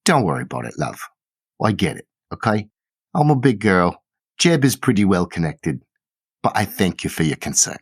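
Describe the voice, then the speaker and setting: A man voicing a warm, flirty, motherly theater actress who gushes and calls everyone love in a tabletop game. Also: low voice, sing-song, normal speed, breathy edge, dry recording, clean studio sound, voice pushed down, heavily acted. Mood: reassuring. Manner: gushing, calls people love.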